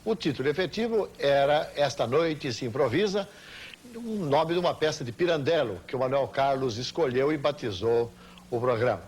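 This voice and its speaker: A man's voice: medium pitch, expressive, moderate pace, a little mellow, slightly rough. A man with a Brazilian accent giving eloquent commentary as a presenter. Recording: hiss and hum, old TV tape.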